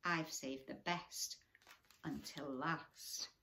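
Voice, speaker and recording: A woman's voice: low-pitched, steady tone, drawn-out words, sharp tone, slight background noise, exaggerated voice. A woman voicing a protective female sea turtle in a storybook, confident and encouraging. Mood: kindly, reassuring.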